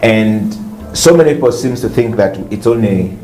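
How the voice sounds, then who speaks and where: A male host, welcoming a guest to his studio interview show in a measured, reflective way. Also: on the low side, expressive, mellow, echoey room, faint music behind, rough recording.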